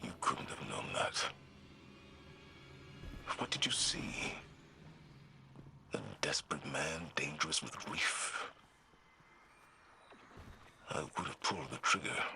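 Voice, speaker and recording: An actor playing a stern, honorable old warlord in a video game, solemn and deliberate, weighed down by regret. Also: male voice, a bass voice, lively intonation, sharp tone, exaggerated voice. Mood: grim, regretful. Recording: clear ambient noise behind voice.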